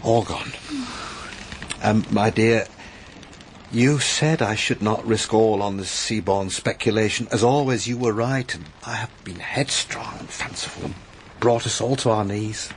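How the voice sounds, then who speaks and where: An actor playing a gentle, remorseful middle-aged father in a period drama, halting and sorrowful. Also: fairly low pitch, slight background noise. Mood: despairing. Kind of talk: period audio drama acting.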